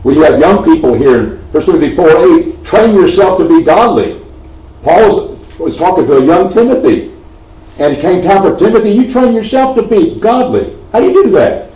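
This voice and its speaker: A man's voice: high-pitched, animated, normal speed, dark tone. A man with a Texan accent preaching a sermon, swelling emphatically on key phrases and often restarting his sentences. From Texas. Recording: roomy echo, mic at a distance.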